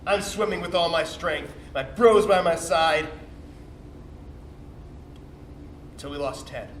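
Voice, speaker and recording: A man's voice: on the high side, normal speed, natural voice. A man on stage playing a cocky, bitter young sperm cell, telling his story as a slangy, swearing, defensive bro in a dramatic comic monologue. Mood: grave, tense. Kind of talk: theatrical comic monologue, confessional storytelling to audience. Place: indoor theatre stage, recorded from a distance. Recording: roomy, distant stage recording with faint noise.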